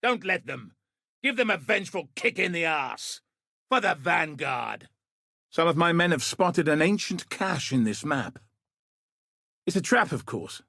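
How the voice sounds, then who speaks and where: An actor playing a gruff, dutiful military commander in a video game, with a husky, rough-edged voice and a grave, dry, clipped delivery. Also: male voice, on the high side, fairly bright tone, no room sound, exaggerated voice. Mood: fierce, urgent. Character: gruff, dutiful male military commander sending a warrior into danger. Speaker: video game character, quest giver. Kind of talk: scripted video game voice acting.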